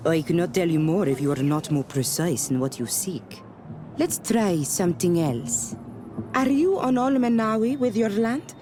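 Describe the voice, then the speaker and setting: An actress playing a playful, cryptic native clan woman in a video game, proud and teasing, with measured, stilted phrasing. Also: on the low side, expressive, background noise, close to an everyday voice. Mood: mysterious. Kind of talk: video game character acting. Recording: music under the dialogue.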